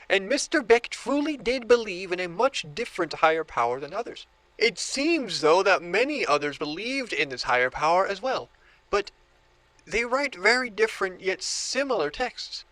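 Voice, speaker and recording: An actor playing an obsessive book collector who grows entranced, in a horror audio drama. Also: male voice, high-pitched, bright, forceful tone, rough recording. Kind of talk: audio drama monologue and dialogue.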